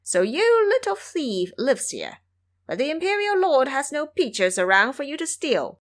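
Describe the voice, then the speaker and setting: Woman playing a brash, high-pitched monkey hero. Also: big pitch swings, unhurried. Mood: teasing. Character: cheeky, brash male monkey immortal, boastful and bold.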